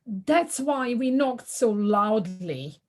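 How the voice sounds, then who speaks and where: Woman as a high-voiced, earnest little mole. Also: lively intonation, slow pace. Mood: worried. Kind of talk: community audio play acting. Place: living room, recorded over video call.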